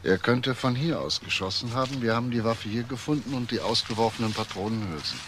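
A man's voice: mid-range voice, a little fast, fairly bright tone, natural voice. A man playing a probing, world-weary police inspector in a crime radio drama, politely insistent, with hesitant repeated questions. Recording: faint background noise.

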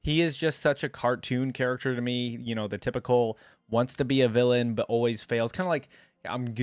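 A man's voice: medium pitch, dry recording. Casual young man, dry close-mic sound. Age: young adult. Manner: informal, rambling. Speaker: reaction video host. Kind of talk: casual chat to camera. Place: small indoor gaming setup. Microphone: close desk microphone on arm.

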